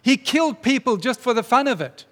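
High-voiced pastor in a reverberant church. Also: male voice, animated, brisk, a bit bright. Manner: earnest, emphatic preaching. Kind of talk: sermon. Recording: long reverb of a church hall.

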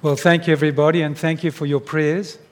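A man's voice: a little high, flat delivery, fast talker. A man preaching a sermon to his church in an earnest, emphatic way. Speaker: pastor. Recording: long reverb of a church hall.

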